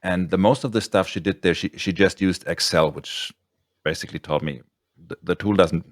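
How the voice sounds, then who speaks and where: A man's voice: deep voice, moderate pace, no room sound, clean studio sound. A man hosting a podcast interview, chatting casually and rambling through his points. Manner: rambling, with many ums and restarts. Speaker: podcast host.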